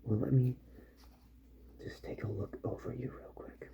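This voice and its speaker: A man doing a soft-spoken ASMR roleplay as a calm, methodical repair doctor, musing gently to himself and often drifting into a whisper. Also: mid-range voice, measured pace, vocal fry. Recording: muffled audio with faint background noise.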